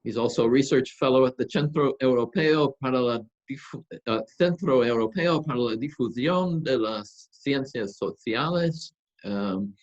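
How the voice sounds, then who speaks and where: A man introducing a guest speaker as host of an academic seminar, speaking semi-formally in a halting way with rephrasing. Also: mid-range voice, highs cut off.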